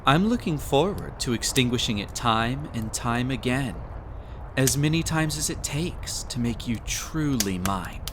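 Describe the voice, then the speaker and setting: A man playing a possessive, wicked prince in a hypnosis roleplay audio, speaking in a deliberate, coaxing, commanding and pompous way. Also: medium pitch, lively intonation, background noise, natural voice. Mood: smug, possessive. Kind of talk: scripted roleplay audio, slow hypnotic monologue.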